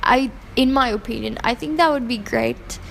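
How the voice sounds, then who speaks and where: A woman from Pakistan, raised in Hong Kong, shares her views in an interview. Her voice sits a little high, and she speaks earnestly with frequent fillers and self-corrections. A faint background hiss runs under the recording. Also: normal speed, gravelly, fairly bright tone. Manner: earnest, opinionated, many fillers and restarts. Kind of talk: casual interview answers.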